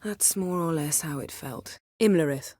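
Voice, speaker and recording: An actress voicing a proud, sharp-tongued sorceress in a fantasy video game, with a crisp, imperious, dryly sardonic delivery. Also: female voice, deep voice, measured pace, dry recording, studio quality. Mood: composed, dry.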